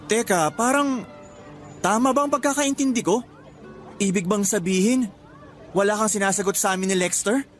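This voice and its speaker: A male actor playing an upbeat, loyal young suitor in a Filipino radio drama, chummy and animated. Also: sits high, moderate pace, pauses often, faint music behind, lightly acted. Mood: nervous, earnest.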